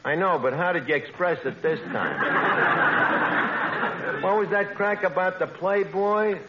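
An actor playing a brash, scheming, lowbrow tavern manager with a New York accent and would-be fancy words, in 1940s radio comedy style. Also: male voice, animated, a little slow. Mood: indignant. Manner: mangled grammar with pretentious fancy words, hemming. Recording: dull old radio recording, noisy.

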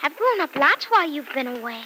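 Piping, very high-pitched excitable little girl. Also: a little fast, heavily acted. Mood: chatty, proud. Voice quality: childlike, piping. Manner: breathless, eager, earnest. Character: excitable affectionate little girl, adoring her mother. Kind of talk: old-time radio soap opera acting. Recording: noisy vintage radio recording.

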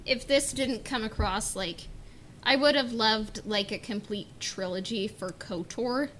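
A woman's voice: fairly high pitch, unhurried, a bit bright. A woman chatting casually on a fan discussion podcast, informal and hedging, with illustrative asides. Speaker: podcast panelist. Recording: clear background noise.

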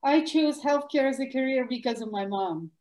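Animated Russian-accented woman. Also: high voice, normal speed. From former Soviet Union. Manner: animated, emphatic, bursts of louder words. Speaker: guest doctor. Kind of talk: interview answers. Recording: echoey room.

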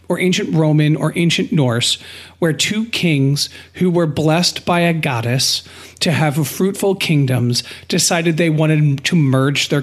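A man with a slightly high voice speaks as a podcast co-host. His delivery is conversational but deliberate and a little formal, working through an analysis for listeners.

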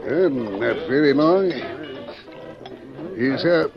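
A man's voice: mid-range voice, animated, very slow, over music. An actor playing a wary, laconic man posing as a stranger in town, in an old radio western. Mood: casual, confident. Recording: muffled vintage radio recording.

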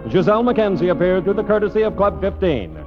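High-pitched American vintage radio announcer man. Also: lively intonation, fast talker. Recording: dull vintage radio broadcast with music behind. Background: music.

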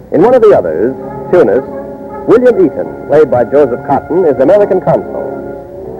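Casting: male old-time radio narrator, dramatic and lively, scripted delivery, phone-like vintage sound. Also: mid-range voice. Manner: dramatic, declamatory. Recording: tinny old radio broadcast. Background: music.

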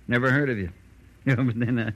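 A man's voice: mid-range voice, fairly even intonation, moderate pace, close to an everyday voice. An actor playing a jokey but sinister small-time wise guy in 1940s radio detective style, mocking and given to chuckles. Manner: mocking, sly, punctuates talk with chuckles. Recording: vintage radio drama recording.

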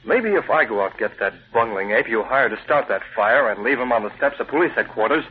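An actor playing a curt, commanding newspaper chief turned menacing vigilante in an old-time radio drama. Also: male voice, mid-range voice, expressive, brisk, lightly acted. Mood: cool menace. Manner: curt, commanding, coolly threatening. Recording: tinny, noisy old radio recording.

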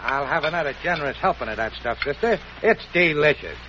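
Loud, slangy glutton of a boss, bright, lively pitch. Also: male voice, a little fast. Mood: greedy. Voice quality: rough slangy talk. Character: brash gluttonous rich male boss. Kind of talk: 1940s radio acting. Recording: dull old radio broadcast with hiss.

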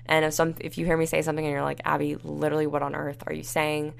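A young woman hosting a solo podcast, talking casually with lots of fillers, her voice raspy and tired. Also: deep voice, monotone, fast. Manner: rambling, many fillers like "like" and "you know". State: tired and dehydrated. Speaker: podcast host.

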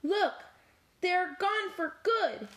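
A woman's voice: very high voice, expressive, fairly bright tone, pitched above the performer's own voice, exaggerated voice. A woman voicing a bossy male cat from a nursery rhyme who hurries the others along. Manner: commanding, hurried.

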